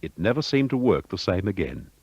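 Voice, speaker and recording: A man giving scripted documentary narration in a smooth, measured broadcaster's delivery. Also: low-pitched, sing-song, a little mellow, no room sound. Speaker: narrator of a radio history. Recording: dull lo-fi broadcast audio.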